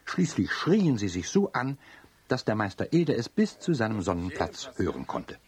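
A man's voice: on the low side, sing-song, moderate pace, crowd noise. A man narrating a children's radio play in a storytelling style. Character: calm male storyteller.

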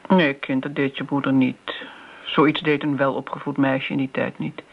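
An actress playing a genteel, self-righteous elderly woman, reminiscing and gently chiding in radio drama style. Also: very deep voice, level tone, moderate pace, creaky. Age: older. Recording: muffled old radio play, background noise.

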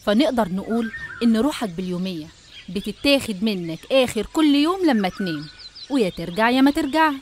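A woman's voice: a little high, expressive, fast talker, a little hiss or hum. A woman with an Egyptian accent narrating a scripted religious story in a didactic way, speaking straight to the listener. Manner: didactic, addressing the listener directly.

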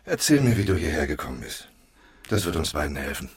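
Eerie accuser, low pitch, measured and menacing audio drama delivery over slight background noise. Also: male voice, very animated. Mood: cryptic calm. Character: eerie, accusing forgotten man haunting his double. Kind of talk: German audio drama acting.

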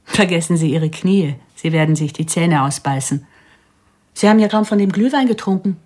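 Husky, low-voiced cool woman killer played by an actress. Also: moderate pace, a little mellow, natural voice. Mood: defiant, sardonic. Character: Anna Gemini, cool, self-assured female contract killer, a mother. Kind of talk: radio play dialogue and inner monologue.

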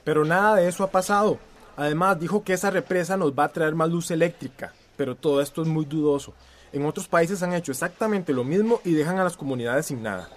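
A man's voice: fairly high pitch, quick pace, close to an everyday voice. A man playing an impulsive, defiant young activist in a radio drama, insistent and headstrong.

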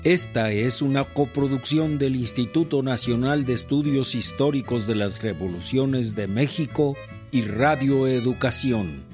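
Slow, formal male radio announcer. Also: medium pitch, loud music behind the voice. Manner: measured, formal. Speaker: credits announcer. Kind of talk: scripted radio credits announcement.

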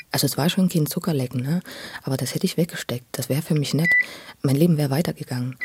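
Gravelly medium-pitched voice, halting casual talk, repeated words, very noisy informal recording. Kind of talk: casual private conversation.

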